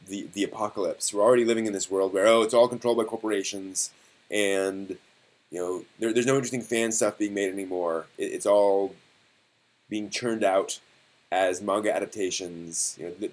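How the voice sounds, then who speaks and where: A man hosting a casual live stream discussion, thinking aloud in a halting way and posing rhetorical questions. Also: fairly low pitch, a little mellow, low-quality recording.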